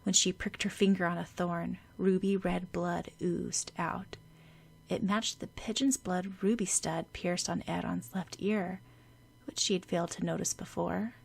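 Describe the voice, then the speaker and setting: A woman's voice: on the low side, fairly even intonation, measured pace, gravelly, husky. A woman narrating a fan fiction audiobook, reading scripted prose.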